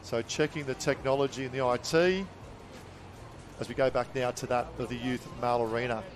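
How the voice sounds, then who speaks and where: A man calling live beach sports as play-by-play commentator, excitable and quick to react to the action. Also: mid-range voice, crowd noise. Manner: excitable, calls the action in bursts.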